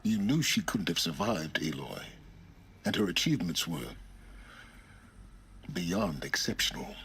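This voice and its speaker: An actor playing a coolly calculating, aloof mentor in a video game, with measured, formal speech. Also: male voice, deep voice, sing-song, gravelly, pushed and bright, slight background noise, full character voice. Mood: cool, detached. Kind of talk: cinematic video game acting.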